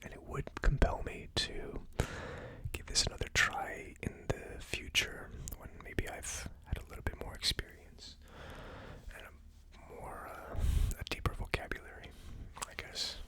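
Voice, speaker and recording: A person giving a casual ASMR tasting review as host, speaking informally and a little tentatively. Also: a little slow. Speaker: host of a whiskey tasting series.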